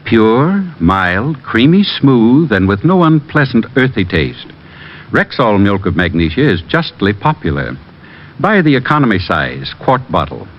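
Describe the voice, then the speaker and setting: A man's voice: on the low side, big pitch swings, a little slow. A man reading a scripted commercial as a mid-century radio announcer. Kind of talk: scripted 1950s radio advertising. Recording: muffled vintage radio recording.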